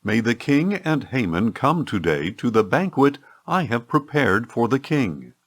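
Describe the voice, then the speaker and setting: A man voicing a resolute, courteous queen in an audiobook scripture reading, measured and earnest. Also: medium pitch, sing-song.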